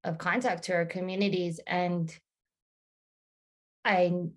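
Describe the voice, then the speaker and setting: Low-voiced woman talking reflectively. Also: steady tone. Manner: thoughtful, halting with many restarts and fillers. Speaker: podcast guest. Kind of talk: casual interview conversation.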